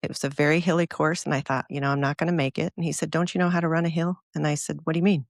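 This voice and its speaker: This is a woman hosting an interview, with a gravelly texture to her voice. She speaks in a conversational, encouraging way, and the sound is dry and close to the mic. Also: sits low, steady tone, rapid-fire, no room sound. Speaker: interview host.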